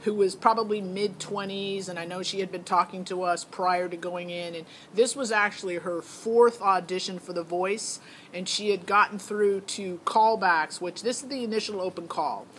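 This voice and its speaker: A woman's voice: medium pitch, fairly even intonation, moderate pace, rough recording. A middle-aged woman telling a personal story in a casual, candid, opinionated way. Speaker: parent recounting a child's audition. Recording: noticeable background noise.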